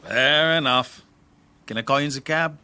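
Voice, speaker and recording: A man's voice: mid-range voice, unhurried, sharp tone, clear, resonant tone. An actor playing a wry, folksy, kindly man in an audio drama, teasing and colloquial. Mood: kindly, exasperated. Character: wry, folksy caretaker, amused and kindly toward a drunk.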